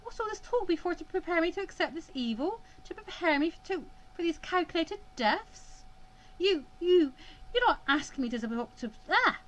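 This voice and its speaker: A woman voicing a distraught, defiant young female student in halting, emphatic dialogue from a visual novel. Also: very high-pitched, big pitch swings, a bit bright, voice pushed up, heavily acted, poor audio. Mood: outraged, disbelieving.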